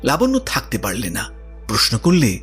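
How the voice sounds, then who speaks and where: A man's voice: mid-range voice, wildly swooping pitch, moderate pace, a bit bright, soft background music. A man narrating a scripted literary story expressively, as the narrator of an audio drama.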